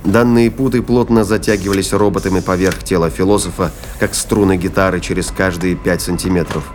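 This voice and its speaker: A man narrating a science fiction audio drama in a measured, descriptive storytelling manner. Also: deep voice, steady tone, slight background noise.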